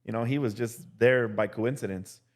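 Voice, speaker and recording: A young male teacher telling casual stories as a podcast guest, relaxed and full of "like" and "dude". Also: medium pitch, moderate pace. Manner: relaxed, heavy use of "like" and "dude". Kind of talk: casual storytelling chat.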